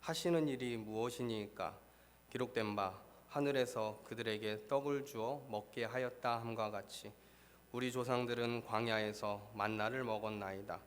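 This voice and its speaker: A man preaching a sermon as pastor, hoarse from a cold, reading scripture and then explaining it earnestly. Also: steady tone, normal speed, a little hiss or hum. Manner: earnest, rises in pitch and force when stressing a point. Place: church.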